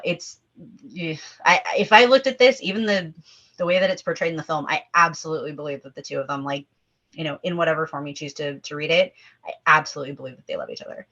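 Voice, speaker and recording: Bright-voiced woman with a low pitch, emphatic. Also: quick pace. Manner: emphatic, opinionated, talking in numbered points. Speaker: table read performer. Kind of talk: casual panel discussion. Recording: dull, lo-fi audio.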